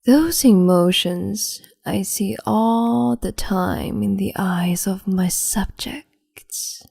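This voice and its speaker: A woman voicing a cruel, capricious empress in an ASMR roleplay, speaking slowly and haughtily in a half-whisper close to the microphone. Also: medium pitch, vocal fry, darkish tone, husky, no echo, heavily acted. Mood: haughty, taunting.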